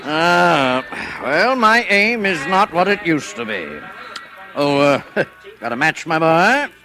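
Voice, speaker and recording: A man's voice: a little high, big pitch swings, slow, full character voice. An actor playing a chatty, sly, avuncular old man in 1940s radio style, hemming and chuckling with flowery phrases. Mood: wheedling, genial.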